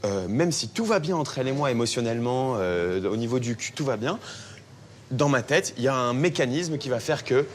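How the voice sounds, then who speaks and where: A man's voice: medium pitch, lively intonation. A man with a mid-range voice joins a casual group debate. He stammers and restarts, stacking up hesitant buts. Faint room noise sits behind him. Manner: halting, stammers with repeated buts. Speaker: group discussion participant.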